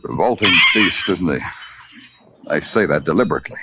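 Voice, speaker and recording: An actor playing a hard-boiled American police detective, sardonic and terse, in 1950s radio crime drama style. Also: male voice, low-pitched, expressive, fairly quick, very noisy. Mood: sardonic, guarded. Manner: sardonic, terse, florid noir phrasing. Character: wry, hard-boiled big-city police detective narrating his case. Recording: muffled old radio recording with music.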